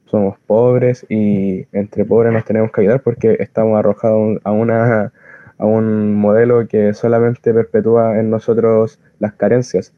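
A man with a Chilean accent speaking informally and earnestly as a community volunteer, halting as he searches for words. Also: fairly low pitch, level tone, dry recording. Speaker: community soup kitchen volunteer. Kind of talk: informal spoken explanation of activism. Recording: dull lo-fi close recording.

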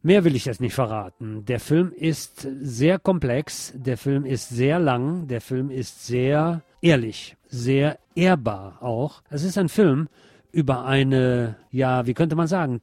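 A man's voice: medium pitch, takes it slowly, darkish tone, dry recording. A man narrating a film review as the host.

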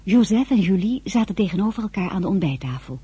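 Spirited young heroine, softly mellow voice. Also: female voice, mid-range voice, lively intonation, quick pace, husky. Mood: wistful narration. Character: spirited, stubborn young Frenchwoman, narrator-heroine of a period romance. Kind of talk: Dutch radio drama acting and first-person narration.

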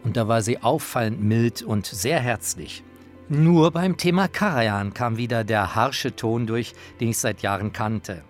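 A man's voice: mid-range voice, a little slow. Man narrating a radio documentary over music. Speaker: narrator and author of a radio feature.

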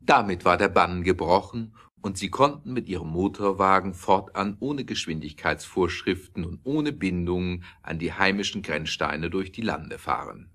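A man's voice: sits low, normal speed, hardly any pauses, a bit bright. A man reading scripted narration as the storyteller of a German audio drama.

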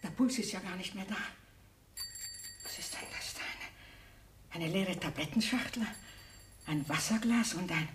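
An actress in a German radio play playing a shrewd, polite elderly spinster who investigates crime, courteous but firm. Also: female voice, fairly low pitch, moderate pace, long pauses, close to an everyday voice. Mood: alarmed. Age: older. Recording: roomy, distant studio sound, faint noise.

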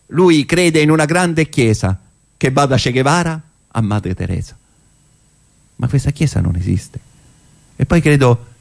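A man's voice: on the low side, creaky, a little mellow. Man delivering an ironic stage monologue. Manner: conversational, ironic, with rhetorical pauses. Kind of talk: theatrical narrative monologue.

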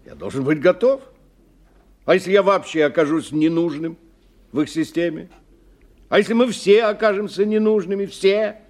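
A man's voice: high voice, moderate pace, long pauses, a little mellow, close to an everyday voice. An actor playing a polite, anxious businessman who talks in probing questions, in a radio drama. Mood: worried, urgent. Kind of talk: radio play dialogue. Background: music.

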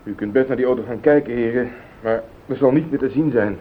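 An actor playing a defensive, desperate accused husband in a radio play, protesting and pleading in a Netherlands Dutch accent. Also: male voice, mid-range voice, fast talker, natural voice. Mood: sheepish explaining. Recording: muffled, phone-like old radio recording.

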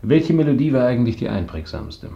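An actor playing a curt, suave, calculating business magnate in a radio drama, with a clipped, dry delivery and gravelly voice. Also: male voice, medium pitch, big pitch swings, brisk. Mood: dry, teasing. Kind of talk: 1970s German radio drama acting. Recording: dull, band-limited 1970s radio recording.

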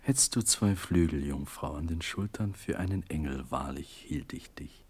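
An actor playing a despairing young condemned hero in a classical verse drama, in a breathy, husky near-whisper. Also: male voice, a bass voice, normal speed. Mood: awed, fragile hope.

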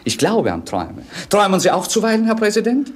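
An actor playing a bored, witty young prince in a 1950s radio play, with a mannered, mocking stage delivery. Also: male voice, sits high, wildly swooping pitch, fast, heavily acted. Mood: ironic, languid.

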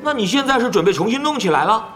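Brief: man voicing an excitable pro gamer, high and very lively, with music under the audio drama. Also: exaggerated voice. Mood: prodding. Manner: rapid, pestering, excitable chatter. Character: chatty, nosy young male pro gamer. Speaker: voice actor. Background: background music.

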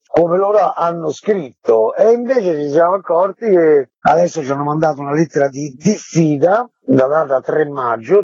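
A man's voice: fairly high pitch, a little mellow, slightly rough, no room sound, lo-fi sound. A man guesting on a radio talk show, explaining at length and with growing indignation how his theatre group is being pushed out. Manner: earnest, indignant, rambling explanations. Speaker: guest, head of a theatre association. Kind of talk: radio interview, explaining a dispute.

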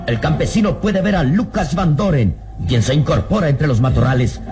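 A man's voice: fairly high pitch, expressive, normal speed. A man narrating a scripted radio serial in a suspenseful, declamatory manner. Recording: dramatic music behind old radio serial.

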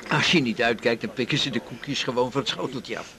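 A man's voice: mid-range voice, a little fast, creaky, crowd noise, lightly acted. An actor playing an amiable, inquisitive male companion in a naturalistic radio drama. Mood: companionable.

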